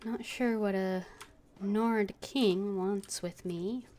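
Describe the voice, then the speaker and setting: A woman's voice: medium pitch, steady tone, slow, darkish tone, a little hiss or hum. A woman streaming a video game, casually commenting and reading dialogue choices aloud.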